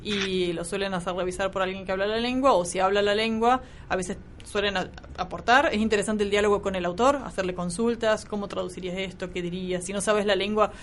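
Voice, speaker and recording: A woman's voice: medium pitch, steady tone, brisk, hardly any pauses, a little hiss or hum. Thoughtful woman with a Córdoba accent. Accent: Argentine, Córdoba. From Argentina, Córdoba. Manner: thoughtful, explanatory, with restarts. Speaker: translator, guest. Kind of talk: conversational radio interview.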